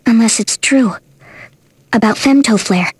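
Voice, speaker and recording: Casting: sardonic, sensible young heroine for a game, high animated voice, close and dry. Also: female voice, measured pace, husky, dry recording. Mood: subdued. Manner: wry, teasing. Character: level-headed young woman adventurer with a teasing, sardonic streak.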